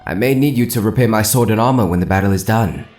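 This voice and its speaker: A man's voice: lowish voice, quick pace, darkish tone, faint music behind, natural voice. An actor playing an honorable swordsman and devoted pupil, formal and earnest, in a fantasy audio drama.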